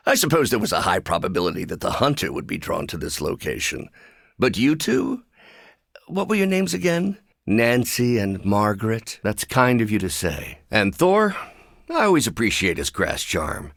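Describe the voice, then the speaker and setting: An actor voicing a brilliant, anxious, wry scientist with buried anger in a video game, speaking in precise, articulate, dryly sardonic phrases. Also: male voice, lowish voice, sing-song, normal speed, vocal fry, a bit bright, no room sound, clean studio sound, full character voice. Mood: friendly, wry.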